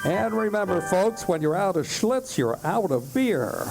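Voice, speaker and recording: Casting: male announcer, theatrical vintage radio delivery, lightly raised pitch, strong music bed. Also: big pitch swings, measured pace, vocal fry, poor audio. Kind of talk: scripted old-time radio announcing.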